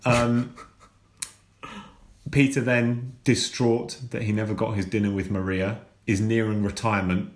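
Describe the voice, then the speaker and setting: A man with a slow, unhurried delivery tells a story as a podcast co-host. He laughs often and breaks into silly voices for the people in the tale. The room around him is echoey and roomy. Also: fairly low pitch, little pitch movement. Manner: laughs often, drawls and acts out phone calls. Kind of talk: comedic storytelling chat.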